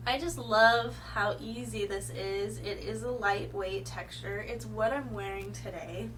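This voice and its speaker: A woman's voice: medium pitch, steady tone, measured pace, fairly bright tone, distant mic, slight background noise, poor audio. A woman with a cold and a slightly congested voice chatting casually through a makeup review, rambling and correcting herself as she goes. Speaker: beauty vlogger. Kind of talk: casual product review to camera.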